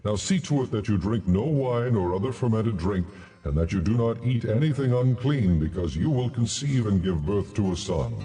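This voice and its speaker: A man's voice: fairly low pitch, animated, moderate pace, warm tone. An actor playing a solemn, commanding male angel in a dramatized audio Bible, with long reverb on the voice. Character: angel of the Lord, solemn male heavenly messenger. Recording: long hall reverb, distant voice.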